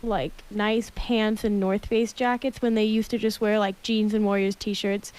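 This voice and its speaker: A woman's voice: mid-range voice, flat delivery, a little slow, a little mellow, clean tone. A young woman with a slight lisp chatting casually on a radio show, full of "like" fillers. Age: young adult.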